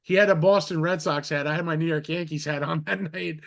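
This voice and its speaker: Rambling, slightly high-voiced podcast host man. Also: steady tone, fairly quick, vocal fry, fairly bright tone. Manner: rambling, restarts sentences, heaps on compliments. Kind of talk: casual interview chat.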